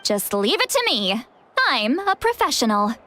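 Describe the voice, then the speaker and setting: A woman's voice: on the high side, big pitch swings, unhurried, pushed and bright, a little hiss or hum, heavily acted. An actress voicing a cheeky, dramatic woman who is far older than she sounds, in a video game. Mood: teasing, confident. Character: ancient but youthful-sounding, dramatic, cheeky woman elder.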